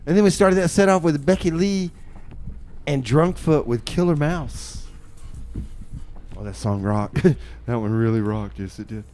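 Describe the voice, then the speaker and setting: Folksy male radio DJ, higher voice. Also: lively intonation, measured pace, darkish tone, a little hiss or hum. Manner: hesitant, folksy, repeats phrases. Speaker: community radio DJ and show host. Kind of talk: casual, improvised on-air chat and announcements.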